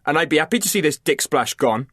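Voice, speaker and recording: A man's voice: fairly high pitch, lively intonation, fast talker, a bit bright, breathy edge, dry recording, pitched above the performer's own voice. A scornful young soldier, voiced by a man, speaking up in a slightly raised, firm voice. The recording is dry.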